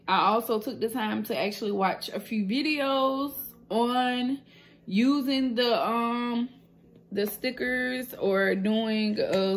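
A woman's voice: mid-range voice, slight background noise. A woman talks candidly to her phone camera. Her chat is loose and slangy, full of fillers. She is in a small indoor room with the phone held close. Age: 20s. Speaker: nail business owner and vlogger. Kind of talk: casual vlog chat to camera.

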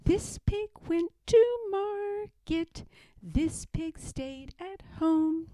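A woman's voice: extremely high pitch, expressive, drawn-out words, dark tone, dry recording, pitched above the performer's own voice, exaggerated voice. A woman voicing a giddy, mischievous little boy pig with a playful, squealing manner in a storybook. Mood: sing-song. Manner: squealing, playful sing-song. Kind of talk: storybook read-aloud.

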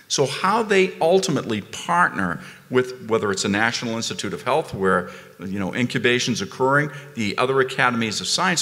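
A man's voice: medium pitch, long reverb tail. Emphatic man on a conference panel. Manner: emphatic, animated, repeats phrases. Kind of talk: panel discussion. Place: conference ballroom stage.

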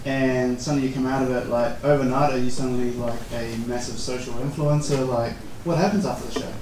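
A man's voice: mid-range voice, fairly even intonation, fairly quick, a little mellow, a little hiss or hum. Man asking questions in an echoey hall. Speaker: interviewer. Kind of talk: casual onstage questions. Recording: echoey room from far off.

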